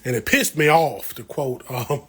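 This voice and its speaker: A man's voice: medium pitch, measured pace, fairly bright tone. An American man chatting casually on a livestream as he works, talking to viewers in a folksy, slangy way. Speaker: plant vlogger hosting a livestream. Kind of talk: casual livestream chat while working. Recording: clear background noise with room ambience.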